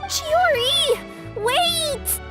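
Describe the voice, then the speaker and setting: A woman's voice: very high voice, lively intonation, drawn-out words, exaggerated voice. A woman voicing a young female yokai courier in a video game, earnest and easily flustered, with a hesitant manner. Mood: alarmed. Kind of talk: video game character acting. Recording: faint music behind the voice.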